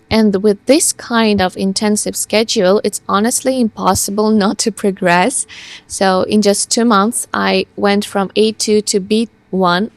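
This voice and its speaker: A young woman vlogger whose first language is Russian speaks earnestly to camera, now and then restarting or repeating a word. Her voice has a gravelly edge at an ordinary pitch. The sound is close and dry, with no room around it. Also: no room sound. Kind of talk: casual talk to camera.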